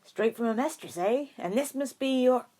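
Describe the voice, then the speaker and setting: An old male bartender as a woman narrator plays him in an audiobook tale. He is familiar and nosy, exclaiming in a raised pitch. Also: expressive, moderate pace, dark tone. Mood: welcoming.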